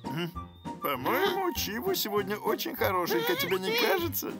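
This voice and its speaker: An actor voicing a dim, greedy crocodile henchman in a children's cartoon, slow, drawn-out and gullible. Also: medium pitch, huge pitch swings, loud music behind the voice. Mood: lovestruck.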